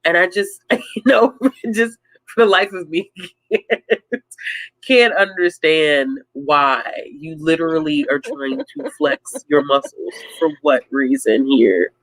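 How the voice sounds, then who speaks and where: A woman co-hosting a casual book chat, telling things in an animated, emphatic way. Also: deep voice, takes it slowly, dry recording, low-quality recording. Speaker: livestream co-host.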